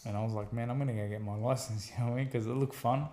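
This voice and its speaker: A man's voice: mid-range voice, very fast, reverberant. A man with an Australian accent, a former boxer being interviewed, telling his story casually and acting out what people said, often repeating himself and adding "man". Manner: animated storyteller acting out quotes, repeats phrases, says man a lot. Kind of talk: conversational storytelling.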